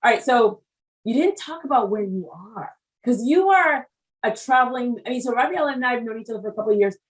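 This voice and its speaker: A woman's voice: a little high, far from the mic, rough recording. Chatty woman host, a bit off-mic. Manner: chatty, quick, animated, interrupts with repeated words. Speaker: interview host. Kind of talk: casual conversational interview.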